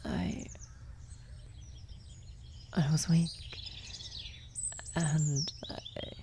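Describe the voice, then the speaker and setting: A woman playing a worried, tender vampire sorceress in an ASMR fantasy roleplay, speaking softly and haltingly, often near a whisper. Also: sits low, very animated, noisy background, exaggerated voice. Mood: surprised, uneasy. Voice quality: soft, breathy, often slipping into whisper. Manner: halting, hesitant, gentle. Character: worried female vampire blood sorcerer, tender, guilty lover. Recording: outdoor birdsong and ambience behind. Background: birdsong, nature ambience effects.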